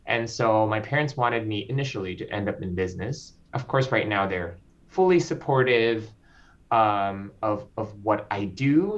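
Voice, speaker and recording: A man from the Philippines, a composer guest speaking slowly and reflectively, with frequent ums. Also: mid-range voice. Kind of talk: interview conversation. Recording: slightly dull lo-fi audio.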